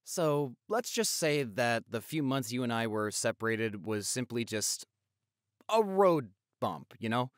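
A man's voice: mid-range voice, sing-song, a little slow, no room sound, clean studio sound. A young man playing an affectionate, playful doctor boyfriend in an intimate audio roleplay, chatty and gushing as he talks to the listener. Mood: reflective, affectionate. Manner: gushing, chatty, with repeated words and exclamations.

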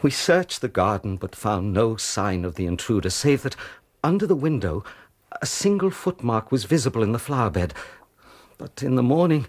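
An actor playing a nervous, formal British gentleman with a husky voice, telling a story in a radio drama. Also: mid-range voice, sing-song, normal speed, background noise, natural voice. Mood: tense, haunted. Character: nervous, eager English gentleman recounting his father's death.

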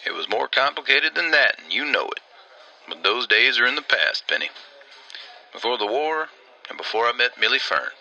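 Man playing a gravelly, guarded small-town railman. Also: mid-range voice, sing-song, normal speed, bright, forceful tone, highs cut off, exaggerated voice. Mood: defensive, firm. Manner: dry, matter-of-fact. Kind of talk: old-time radio drama acting.

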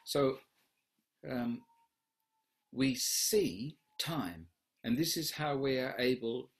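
A man in his fifties leading an online question session from a room at home, speaking slowly and reflectively over a video call. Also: mid-range voice, animated. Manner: slow, reflective, husky. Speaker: workshop leader. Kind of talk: online Q&A talk. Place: home room with wooden doors.

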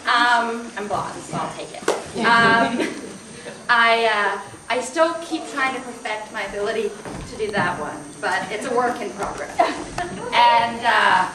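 A woman's voice: on the high side, unhurried. Woman introducing herself on a hall stage, playful, roomy sound, recorded from far back. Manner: chatty, laughing, playful. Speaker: actor auditioning. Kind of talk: casual self-introduction on stage. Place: hall stage with seated audience. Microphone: far camera. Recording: echoey hall, noisy, far off.

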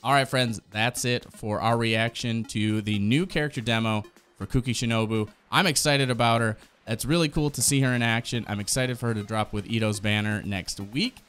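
A man's voice: mid-range voice, fairly even intonation, normal speed, fairly bright tone, clear, resonant tone. A man in his thirties giving enthusiastic, slangy reaction commentary, casual, close on a desk microphone at home. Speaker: streamer and reaction host. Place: small home gaming room. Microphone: close desk microphone on boom arm. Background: music.

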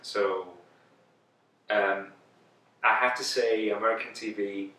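A man's voice: on the low side, fairly even intonation, slow, bright, forceful tone. A man in his thirties whose first language is Greek, an actor talking thoughtfully in an interview while seated indoors, often restarting his sentences. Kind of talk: casual interview talk. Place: indoor room, seated on a sofa. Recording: roomy, slightly distant sound.

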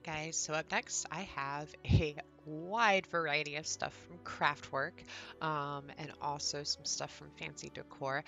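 A woman vlogger, congested and raspy from just waking up, chatting enthusiastically through a home decor showcase with ums and throat clearing. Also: deep voice, a bit bright. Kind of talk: casual product showcase narration.

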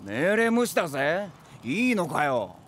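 Hot-blooded young fighter, high voice, rough and animated, for anime drama. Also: male voice, unhurried, slight background noise. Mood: brash alert. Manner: rough, brash.

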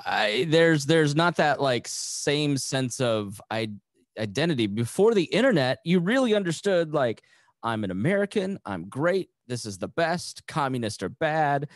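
A man's voice: a little high, very animated, unhurried, no room sound, studio quality. A man in his thirties hosting a podcast in a casual, conversational style. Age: 30s. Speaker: podcast host.

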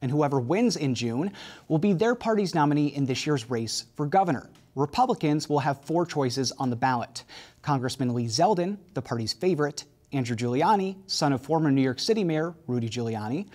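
A man's voice: a little high, expressive, fairly quick, darkish tone. A young man hosting a TV news interview in a studio on a lapel mic, reading a scripted intro and then asking conversational questions. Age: young adult. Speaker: TV news host.

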